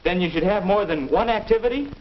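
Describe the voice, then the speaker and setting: High-voiced earnest American teenage boy. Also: expressive, fast. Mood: thoughtful. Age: teenager or young adult. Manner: earnest, musing aloud. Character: restless, puzzled teenage boy looking for a hobby. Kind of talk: 1950 instructional film acting. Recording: dull, noisy old film soundtrack.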